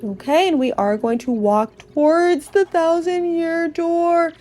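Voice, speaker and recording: A woman hosting a game podcast, narrating play dramatically with drawn-out, descriptive phrasing and a sense of wonder. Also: very high-pitched, dark tone, faint music behind. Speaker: podcast host playing a video game.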